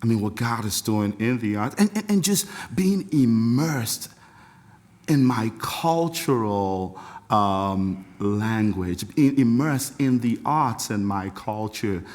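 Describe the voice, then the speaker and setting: A middle-aged man from Nigeria with a Nigerian accent, a music educator chatting as a podcast guest, reflective and animated, laughing often as he tells stories. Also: on the low side, slow pace. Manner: reflective, animated storyteller with frequent laughs and restarts. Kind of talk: casual interview talk.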